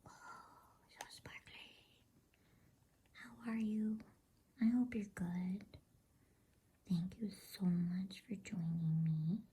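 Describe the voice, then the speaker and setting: A woman whispering softly in a gentle, unhurried, hushed ASMR style as she hosts and reads a bedtime story. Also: mid-range voice, fairly even intonation. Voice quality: breathy, soft whisper. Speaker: host and reader of a bedtime story channel.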